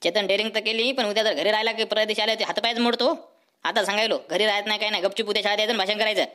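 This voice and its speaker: A man's voice: high-pitched, steady tone, very fast, bright, forceful tone. A man voicing a strict, short-tempered cartoon schoolteacher with a rural Marathi accent and a scolding manner. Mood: stern. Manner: stern, scolding, threatening. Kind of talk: comic cartoon dialogue.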